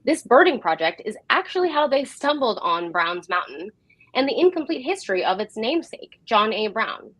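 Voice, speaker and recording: A woman's voice: fairly high pitch, sing-song, normal speed, a bit bright, dry recording, poor audio. A woman presenting as host of a live program, reading a prepared introduction in a welcoming, presenter-like way. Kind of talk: scripted live show introduction.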